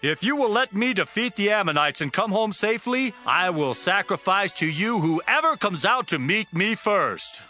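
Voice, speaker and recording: A man's voice: high-pitched, animated, normal speed, hardly any pauses. Jephthah, a man voicing a defiant outcast warrior. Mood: solemn. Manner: forceful, challenging questions. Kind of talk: dramatized scripture reading. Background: background music.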